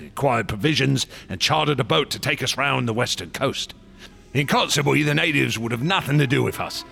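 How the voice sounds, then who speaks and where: A man's voice: mid-range voice, vocal fry. An actor playing a passionate, secretive artist and explorer in a radio drama, telling his tales in a grand theatrical way. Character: passionate, secretive male artist and explorer obsessed with ancient gods.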